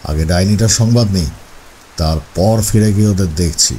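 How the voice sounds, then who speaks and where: An actor playing a stern, commanding leader of horsemen in a horror audio story, speaking with measured authority. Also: male voice, low-pitched, warm tone, slight background noise, natural voice, poor audio. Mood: stern approval.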